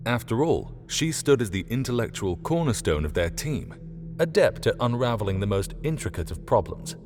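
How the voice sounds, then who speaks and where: Animated male audiobook narrator over music. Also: medium pitch, normal speed. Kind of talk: scripted dramatic narration. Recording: long reverb, music underneath. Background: background music.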